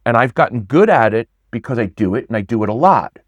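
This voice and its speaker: A middle-aged man, a pitch coach, talking casually in an interview, animated, often restarting and repeating words. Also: quick pace, no echo. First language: not Swedish; English speaker.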